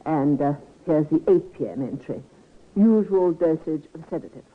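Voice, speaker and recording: An actress playing a proper, guarded nurse with formal, precise speech, in old-time radio style on a tinny recording. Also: female voice, sits low, expressive, normal speed. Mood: guarded, composed.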